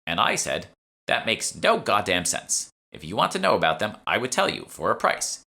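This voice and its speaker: A small, elderly shopwoman acted by a man speaks with grudging, sardonic bite. The pitch is lifted and swings about, with a bright edge, as in an audiobook story. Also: wildly swooping pitch, moderate pace, creaky, heavily acted. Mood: wry, sardonic. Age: older. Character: petite elderly shopwoman, bored, prickly and wry. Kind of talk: audiobook character dialogue.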